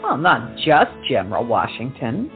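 A woman's voice: a bass voice, wildly swooping pitch, measured pace, soft background music, heavily acted. A woman playing a flighty, gossipy young lady who gushes and teases in a stage comedy. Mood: gushing. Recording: tinny, narrow-band sound.

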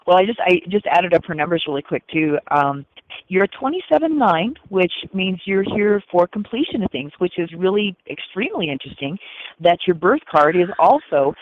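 A woman's voice: fairly low pitch, expressive, moderate pace, no echo. A woman co-hosting a call-in radio show as a card reader, upbeat and thinking aloud as she works. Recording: dull lo-fi radio sound, dry.

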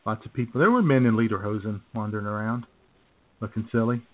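A man's voice: fairly low pitch, quick pace, narrow, tinny audio. A man co-hosting a comedy radio show in casual banter, recorded with thin, band-limited sound.

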